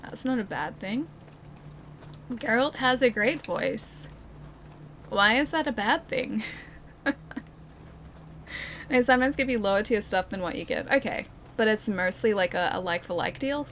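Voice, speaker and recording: A woman's voice: medium pitch, animated, unhurried, long pauses, a bit bright, slight background noise. A young woman streaming a video game, chatting casually with her viewers, laughing often and restarting her sentences. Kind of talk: casual gameplay commentary, chatting with live chat.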